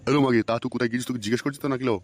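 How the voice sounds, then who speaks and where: A man's voice: mid-range voice, steady tone, rapid-fire, natural voice. A man voicing an angry, suspicious, foul-mouthed old village husband in a comic cartoon, cursing and scolding. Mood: accusing, furious. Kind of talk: comic Bengali cartoon voice acting.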